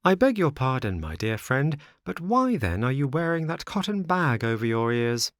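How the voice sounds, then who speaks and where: A man's voice: medium pitch, very animated, moderate pace, dry recording, studio quality, pitched above the performer's own voice, natural voice. A man voicing an impulsive young boy marionette in a storybook, exclamatory and wheedlingly polite. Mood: eager, polite. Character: boy marionette, impulsive, remorseful, sly and eager.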